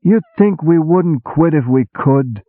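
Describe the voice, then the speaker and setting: A man doing a loud brash army boss, Big Julie. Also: a little high, slow pace, no echo, voice pushed up. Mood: streetwise. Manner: sarcastic, excitable, shouting, streetwise gangster talk. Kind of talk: audiobook character voice. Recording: tinny phone-like sound.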